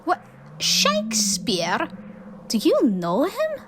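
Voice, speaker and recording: A woman's voice: sits high, huge pitch swings, slow pace, fairly bright tone, a little hiss or hum, heavily acted. A woman playing a young travelling companion in a fan audio drama, sincere, earnest and inquisitive. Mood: curious, pleased.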